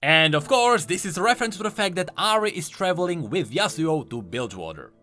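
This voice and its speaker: A man's voice: on the high side, big pitch swings, a little fast, sharp tone, soft background music. A man giving enthusiastic, explanatory commentary on video game lore, leaning hard on key words for emphasis.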